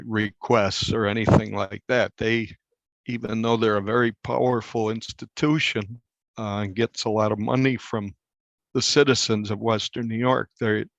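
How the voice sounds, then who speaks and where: A man being interviewed as a reporter, speaking in a measured, halting way and repeating phrases for emphasis. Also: fairly low pitch, creaky, fairly bright tone, dry recording, highs cut off.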